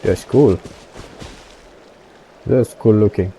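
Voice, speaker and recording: A man's voice: on the low side, drawn-out words, dark tone, slight background noise, low-quality recording. A man streaming a game, reacting casually in short phrases and thinking aloud.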